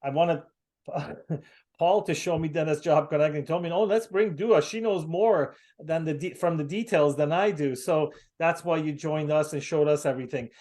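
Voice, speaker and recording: Halting American man hosting a call. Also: fairly high pitch, a little mellow. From United States. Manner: halting, many fillers and restarts. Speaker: host. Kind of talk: casual video call chat. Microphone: video call.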